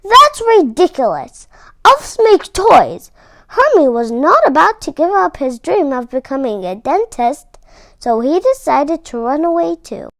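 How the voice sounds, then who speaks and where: A woman reading a children's story aloud with expressive, deliberate delivery and dramatic emphasis. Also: high-pitched, no room sound.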